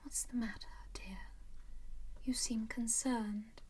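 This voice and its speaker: A woman voicing an ancient, genteel female vampire in an ASMR roleplay, whispering softly and dotingly in an unhurried way. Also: fairly high pitch, fairly even intonation. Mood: soothing. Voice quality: whispered, breathy.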